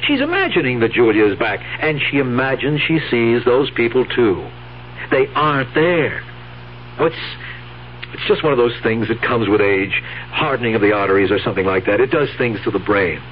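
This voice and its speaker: An actor playing a scheming, impatient nephew in a 1970s radio drama, speaking firmly and deliberately with an exasperated edge. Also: male voice, medium pitch, sing-song, close to an everyday voice. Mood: calculating. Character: scheming, impatient nephew humouring his aunt. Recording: dull old radio recording, faint hum.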